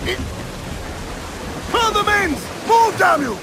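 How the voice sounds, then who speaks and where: A man playing a spirited, excitable pirate sailor in a video game sea battle, shouting urgently to his captain. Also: very high-pitched, slow pace, background noise, full character voice. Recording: loud music over ocean surf.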